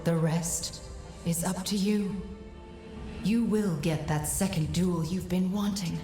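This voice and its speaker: An actress voicing a steadfast mystical guide in a fantasy video game, grave and measured as she advises a warrior. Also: lowish voice, fairly even intonation, warm tone. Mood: grave warning. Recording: echoey, music behind. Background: game score.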